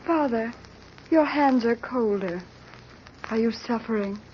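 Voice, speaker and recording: A woman plays a tender, worried young daughter in an old radio drama. Her voice is high and plaintive. The recording is muffled, with some faint noise. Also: a little slow, a little mellow, close to an everyday voice. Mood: anxious, grieving. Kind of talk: 1930s radio drama acting. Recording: dull, noisy vintage radio recording.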